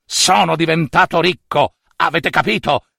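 Man doing an excitable greedy old king. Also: a little high, normal speed, vocal fry, dry recording, clean studio sound, full character voice. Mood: gloating. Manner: stammering, eager.

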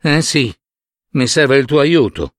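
A man's voice: medium pitch, animated, takes it slowly, a bit bright, dry recording, clean studio sound. A man voicing a henpecked but stubborn husband in a folk tale, with an emphatic way of repeating words. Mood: meek, hesitant. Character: henpecked husband, meek with wife, stubborn when pushed.

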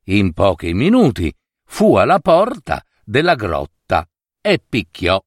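A man narrating a fairy tale as an expressive storyteller. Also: lowish voice, slow pace, no echo, studio quality.

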